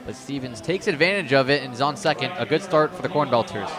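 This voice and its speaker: Guy doing chatty baseball color commentary on radio, medium pitch, ballpark crowd clearly behind him. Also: expressive, fast talker. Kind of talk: conversational live radio sports analysis.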